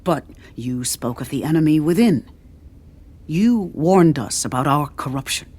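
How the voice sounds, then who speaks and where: Woman as young male reporter, storybook fantasy, eager, blunt, earnest questioning, lowered pitch. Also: expressive, measured pace, a little mellow, husky, full character voice. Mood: earnest, insistent. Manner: earnest, probing.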